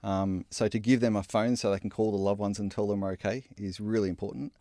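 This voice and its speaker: A man's voice: fairly low pitch, level tone, fast, dark tone, no echo, clean studio sound. A man speaking as an interview guest, explaining a charity in a conversational way.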